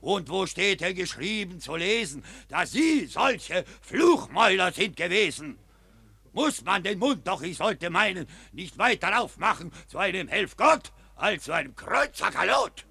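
An actor playing a fiery Capuchin friar who thunders rhymed sermons at a crowd of soldiers in a radio play. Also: male voice, high voice, sing-song, a little slow, bright, forceful tone, no room sound, full character voice. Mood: scolding sermon. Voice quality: rasping, thundering rhymed preaching. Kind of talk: stage verse radio play.

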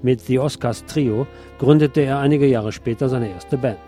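A man narrating a scripted obituary tribute as a radio host, in a measured, formal reading manner. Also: medium pitch, over loud music.

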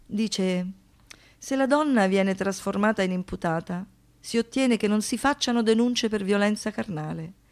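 A woman giving a dramatic, theatrical recitation in a deliberate way, as a monologue performer. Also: mid-range voice. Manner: deliberate, repeats phrases for poetic effect.

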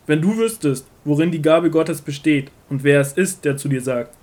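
Man playing authoritative prophet and teacher, fairly high male voice, solemn, measured, emphatic, Bible radio play. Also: darkish tone, close to an everyday voice. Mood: earnest, instructive. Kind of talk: scripted audio drama of a Bible story.

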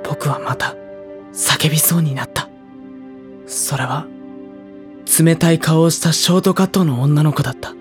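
A sensitive, uneasy high school boy played by a man tells his own story in an audio drama. He narrates in the first person, with faint music behind his voice. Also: normal speed, pauses often, lightly acted. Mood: uneasy, shaken. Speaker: narrator and character.

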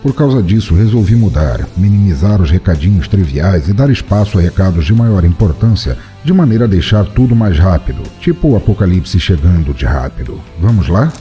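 A man with a Brazilian Portuguese accent hosting an audio drama podcast, reading out notices and thanks in a theatrical, playfully dark tone. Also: low voice, big pitch swings, brisk, few pauses, faint music behind. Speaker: podcast host.

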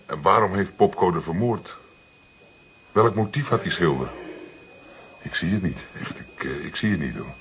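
An actor playing a younger police detective in a radio drama, eager, inquisitive and informal in manner. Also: male voice, sits low, lively intonation, normal speed, long pauses, a bit bright. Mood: puzzled.

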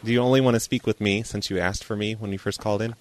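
A man's voice: fairly low pitch, steady tone, fast, a little mellow. A man hosting a casual call-in show, bantering with a dry, tongue-in-cheek manner. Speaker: host of a prank phone call show.

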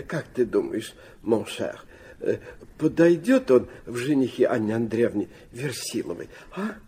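Radio drama: genial old dithering prince, slow, hesitant, swooping intonation, husky voice. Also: male voice, mid-range voice, mellow, exaggerated voice. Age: older. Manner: halting, mumbling hesitations, French endearments, swooping exclamations. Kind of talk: Russian radio play dialogue.

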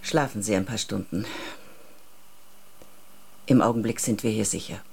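An actress playing a composed, resolute rebel agent in a science-fiction radio drama, speaking in a measured, matter-of-fact way. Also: female voice, a bass voice, creaky, darkish tone, husky, full character voice. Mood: soothing.

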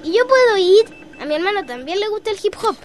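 Very high-pitched cheeky Chilean little kid. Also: female voice, sing-song, quick pace. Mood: lively. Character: cheeky, sensible young schoolchild. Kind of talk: scripted educational radio drama.

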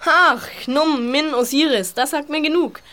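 A woman's voice: sits high, unhurried, pushed and bright. A woman playing a bookish young detective in an audio drama, lecturing in a precise, knowing manner. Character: bookish, know-it-all young detective, explaining patiently. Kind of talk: German youth audio drama acting.

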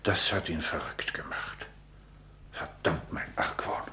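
A man's voice: slow pace, sharp tone, roomy sound, in a whisper. An actor playing an elderly, meddling father and courtier in a classical radio play, theatrical and sententious. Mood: muttered regret.

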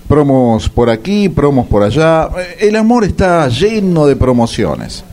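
Wry male narrator, mellow tone. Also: on the high side, big pitch swings, measured pace, a little hiss or hum. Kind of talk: scripted comic narration.

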